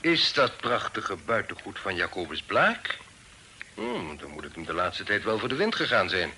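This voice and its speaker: An actor playing a genial, fatherly older gentleman in a radio drama, speaking formally and courteously. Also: fairly low pitch, huge pitch swings, normal speed, exaggerated voice. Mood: reflective. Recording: older radio recording, faint noise.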